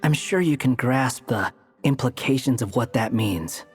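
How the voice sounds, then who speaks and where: A man's voice: medium pitch, lively intonation, unhurried, breathy edge, soft background music. A man playing an aloof, sardonic young wanderer in an anime game, curt and dryly condescending. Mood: serious.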